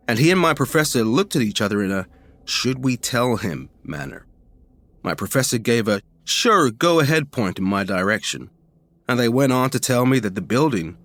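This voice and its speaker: A man narrating a first-person ghost story in an informal, expressive, conversational way, as a podcast reader. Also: medium pitch.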